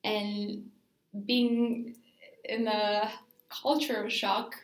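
A woman's voice: medium pitch, little pitch movement, very slow, reverberant. A woman from Greece, a dancer being interviewed, talking casually and thinking aloud with many fillers.

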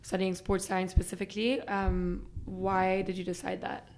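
A woman's voice: mid-range voice, monotone, moderate pace, a little mellow. A woman from Belgium, who also grew up in Germany, interviewing a guest with short, curious follow-up questions. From Belgium, grew up partly in Germany. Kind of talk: conversational interview.

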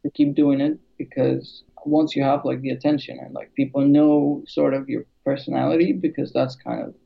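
A man's voice: mid-range voice, flat delivery, moderate pace, creaky. A man from Albania, a music producer and YouTuber, chatting casually with many fillers and restarts. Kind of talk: casual conversation. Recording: dull lo-fi sound.

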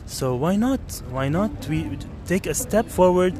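A man from Kuwait who is being interviewed outdoors talks earnestly and thoughtfully, often pausing with fillers. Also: sits high, lively intonation. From Kuwait, Lebanese family. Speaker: interview guest. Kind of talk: street interview. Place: outdoors at a city square monument.

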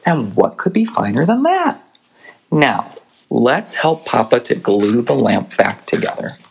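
A reader voicing a gentle, wise mother bear. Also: mid-range voice, huge pitch swings, measured pace, vocal fry, slightly rough, exaggerated voice. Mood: bright, reassuring. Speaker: storybook character voiced by the reader. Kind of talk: storybook reading aloud.

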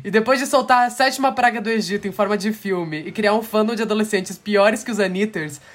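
Man, podcast host, from Brazil, sarcastic, joking, fairly high voice. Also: a bit bright. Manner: sarcastic, joking, quick asides. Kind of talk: casual podcast chat.

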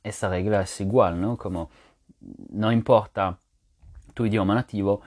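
Low-voiced man explaining with many restarts. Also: fairly even intonation, normal speed, darkish tone. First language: French. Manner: thinks aloud with many fillers and restarts, explains patiently. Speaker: language teacher podcaster. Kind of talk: casual spoken lesson to listeners.

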